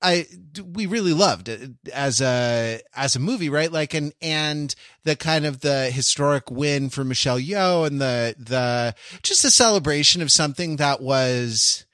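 A man's voice: a little high, measured pace, few pauses, a bit bright, no echo, clean studio sound. A man from Los Angeles co-hosting a podcast, talking in a rambling, digressive way and correcting himself as he goes. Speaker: podcast co-host. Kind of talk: casual chat.

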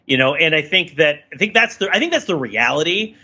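Man, lively swooping pitch, slightly raspy, casual analytical podcast talk, emphatic, rambling. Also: fairly quick, dull audio. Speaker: podcast panelist.

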